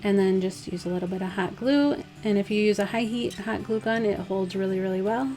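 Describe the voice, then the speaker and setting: Woman, slightly hoarse, dry voice, relaxed and chatty, craft tutorial voice-over, mid pitch, soft music behind. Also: fairly quick. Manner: relaxed, chatty, step-by-step instructions with fillers. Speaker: craft vlogger. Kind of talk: casual DIY tutorial voice-over.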